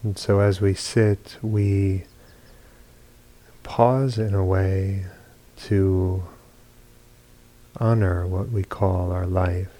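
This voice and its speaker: A man leading a guided meditation as a teacher, speaking in short, measured phrases with long pauses between them. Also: low-pitched, little pitch movement, warm tone, rough recording. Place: meditation retreat hall.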